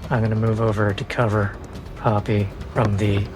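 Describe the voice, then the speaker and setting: Lowish-voiced male tabletop player, music behind. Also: level tone, normal speed. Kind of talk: in-game narration of his character's combat moves.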